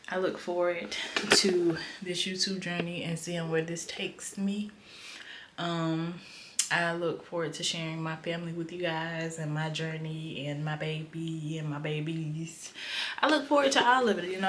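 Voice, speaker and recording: Young woman vlogger, stuffy nasal voice, rambling casual delivery, echoey room, faint hiss. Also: lowish voice. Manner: rambling, repeats herself, many ums. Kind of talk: casual vlog chat. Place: in her home. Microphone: camera on a stand.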